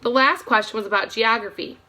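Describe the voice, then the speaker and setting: A woman reading a children's novel aloud as an expressive storybook narrator. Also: fairly high pitch, brisk, low-quality recording.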